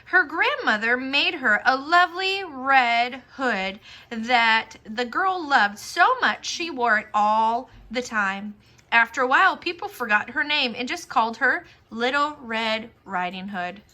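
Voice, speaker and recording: A woman storyteller narrating a children's fairy tale for a puppet show in a deliberate, expressive way. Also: fairly high pitch.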